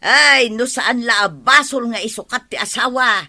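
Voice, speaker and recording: Sharp-voiced nagging wife. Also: female voice, fairly high pitch, normal speed. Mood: complaining. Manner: complaining, nagging. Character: exasperated wife scolding her vengeful farmer husband. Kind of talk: Ilocano comedy radio drama acting.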